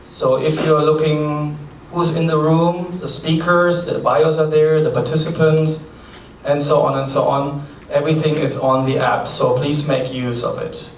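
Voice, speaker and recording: A man's voice: fairly high pitch, fairly even intonation, unhurried, distant mic. Brief: German-accented male conference host, chatty and practical, echoey hall, faint background noise. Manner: chatty, practical, light asides. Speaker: forum host. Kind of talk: conference opening remarks.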